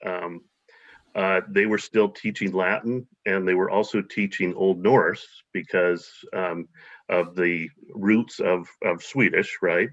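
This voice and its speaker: A man's voice: low-pitched, fairly even intonation, takes it slowly, a bit bright. A man being interviewed, talking hesitantly with many fillers and restarts. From United States. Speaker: guest. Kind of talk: casual interview. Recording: dull lo-fi audio.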